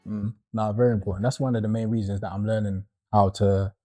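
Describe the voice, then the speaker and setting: A man's voice: lowish voice, flat delivery, fast talker, dark tone, faint music behind. A man talking earnestly and reflectively in a casual group discussion. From Britain. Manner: earnest, reflective, uses fillers like innit. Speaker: panel guest.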